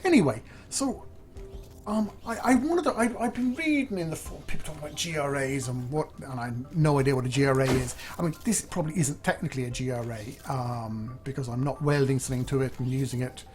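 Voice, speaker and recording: A slightly hoarse man, thinking aloud in chatty, self-deprecating gameplay commentary as host. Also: medium pitch, fast talker, darkish tone, soft background music. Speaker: let's play host.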